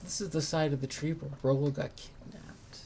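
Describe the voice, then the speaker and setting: A woman's voice: deep voice, fairly even intonation, moderate pace, warm tone, a little hiss or hum. A woman giving casual commentary as she plays through a video game. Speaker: let's play host.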